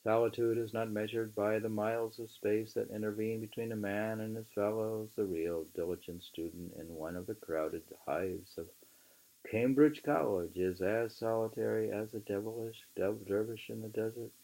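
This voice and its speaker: A man reading literature aloud in an emphatic, deliberate, musing way, with informal asides. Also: on the low side, fairly even intonation, mellow, rough recording. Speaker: reader.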